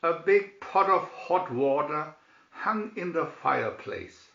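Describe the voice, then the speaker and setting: A man reading a children's fairy tale as an expressive storyteller. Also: on the high side, slow, creaky. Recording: roomy echo, slightly dull sound.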